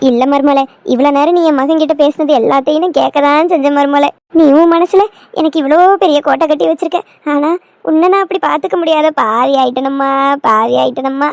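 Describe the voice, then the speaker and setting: A sentimental older mother-in-law from a village family drama, voiced by a woman, fussing and coaxing in a high voice. Her accent is southern Tamil Nadu and the recording is dry. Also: fast, darkish tone, no room sound, close to an everyday voice. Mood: tearful, doting. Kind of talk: Tamil family skit acting.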